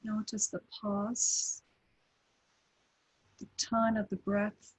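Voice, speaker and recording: A woman guiding a breathing meditation, speaking calmly with long pauses between short phrases. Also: mid-range voice, slightly rough, poor audio. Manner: slow, calm, with long pauses. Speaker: meditation teacher.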